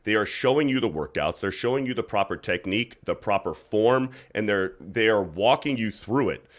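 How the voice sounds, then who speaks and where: A man's voice: fairly low pitch, lively intonation, normal speed. A man hosting a casual livestream, reading super chats aloud and chatting loosely with a guest, with some swearing. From United States. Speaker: livestream host.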